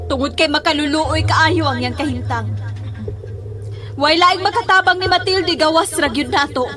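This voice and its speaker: A woman's voice: high voice, normal speed, natural voice. A woman playing a concerned schoolteacher who directs her class in a horror audio drama. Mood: concerned, instructing. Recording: music under the voice.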